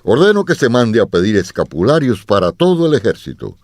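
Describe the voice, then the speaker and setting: Deep, swooping, regal king. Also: big pitch swings, brisk, darkish tone, no room sound, studio quality. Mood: commanding. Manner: regal, commanding, declamatory. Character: French medieval king, astonished and commanding. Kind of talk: radio drama acting.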